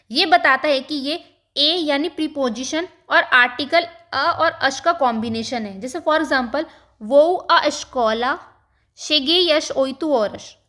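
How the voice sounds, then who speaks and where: Female language tutor, high voice, calm and patient, slows down to model example words. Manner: mixes English terms into her talk. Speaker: language teacher. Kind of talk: explaining a language lesson over slides.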